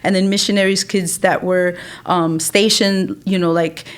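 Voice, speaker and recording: A woman's voice: on the low side, fairly even intonation, normal speed. An American woman from Chicago telling her story casually as a podcast guest, informal and repeating phrases for emphasis. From Chicago, United States. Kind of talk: casual conversational interview storytelling.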